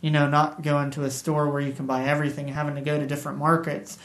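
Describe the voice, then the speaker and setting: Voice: mid-range voice, little pitch movement, a bit bright. An interviewer prompting a guest with encouraging, conversational questions, sometimes searching for words. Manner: encouraging, conversational, somewhat halting.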